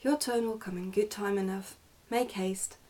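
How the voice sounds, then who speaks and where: A woman's voice: mid-range voice, fairly even intonation, normal speed, warm tone, close to an everyday voice. A woman voicing a stern, pompous goblin father in an ASMR storybook reading, scolding and commanding.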